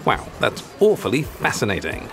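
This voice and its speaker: Polished male podcast host with lively intonation. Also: medium pitch, moderate pace, faint music behind. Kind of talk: scripted narration explaining local slang.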